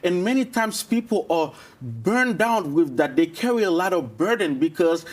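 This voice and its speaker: A man's voice: high voice, sing-song, normal speed. A man from Haiti, a guest on a TV morning show, speaking earnestly and encouragingly as he lays out his points one by one.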